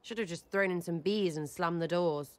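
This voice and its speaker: A woman's voice: fairly low pitch, normal speed, long reverb tail, lightly acted. A woman playing a brash, irreverent young elf rebel in a video game, with an English accent and blunt, slangy, cheeky delivery. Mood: bitter, irritated. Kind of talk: video game cutscene acting.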